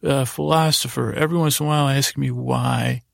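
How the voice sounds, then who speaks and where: A man with a heavily creaky, gravelly voice, recorded close and dry. He hosts a podcast as a rambling bedtime monologue. His delivery meanders and halts, often circling back to repeat words. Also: medium pitch, steady tone, fairly bright tone, no room sound. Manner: meandering, halting, repeats himself. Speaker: podcast host.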